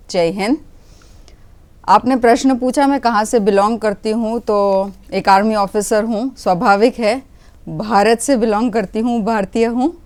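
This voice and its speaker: Slow, smooth-voiced Hindi-speaking woman. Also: mid-range voice, a little mellow. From Gujarat, India. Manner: measured, mixes English phrases into Hindi, many pauses with fillers. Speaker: interview guest, retired army officer. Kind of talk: broadcast interview.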